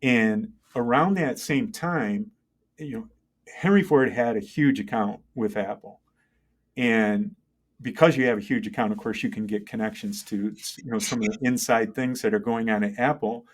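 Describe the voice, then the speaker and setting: A middle-aged man speaking as an interview guest, conversational and halting, thinking aloud and often checking in with the listener. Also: on the low side. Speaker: interview guest, tech entrepreneur and engineer. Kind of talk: conversational interview, telling career story.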